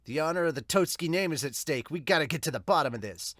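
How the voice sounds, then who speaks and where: A man's voice: on the high side, lively intonation, fast, fairly bright tone, no room sound, studio quality. A man voicing an eager, cheerful teenage boy cook turned detective in an amateur manga dub. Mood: determined.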